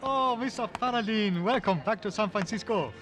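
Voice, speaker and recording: Guy doing eager obliging chinese porter, put-on accent, high voice, music under it, old radio show. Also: moderate pace, natural voice. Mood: eager, curious. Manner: broken English, eager and obliging. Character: eager, chatty Chinese hotel porter, curious and deferential. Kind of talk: 1950s radio western acting. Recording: vintage radio drama recording with music.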